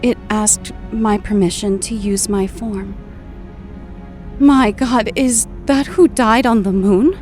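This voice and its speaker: A woman playing a bewildered young mutant woman just woken from a coma, speaking haltingly in an audio drama. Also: mid-range voice, a little mellow, faint music behind, natural voice. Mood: shaken. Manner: halting, dazed delivery.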